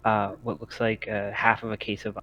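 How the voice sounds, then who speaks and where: A man's voice: on the low side, flat delivery, moderate pace, lo-fi sound, a little hiss or hum. A man acting as game master, narrating a tabletop game casually with hesitant, improvised phrasing.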